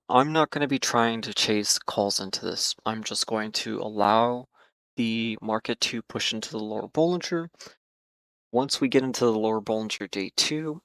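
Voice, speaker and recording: A man talking casually through stock charts, hoarse and losing his voice, with many fillers and repeated hedges. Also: medium pitch, fairly bright tone, no room sound. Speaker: market analysis host. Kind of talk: casual trading chart commentary.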